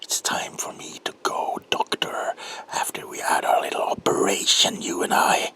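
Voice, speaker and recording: A man playing a sadistic, gloating monstrous Victorian villain in a horror ASMR roleplay, with a whispery rasp and a slow, menacing, theatrical delivery. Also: bright, forceful tone, lightly acted. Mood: sadistic menace. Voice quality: whispery, creaky, breathy rasp.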